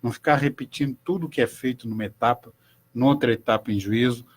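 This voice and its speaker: Formal older judge. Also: male voice, medium pitch, husky. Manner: formal, measured, explanatory. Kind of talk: radio talk show guest.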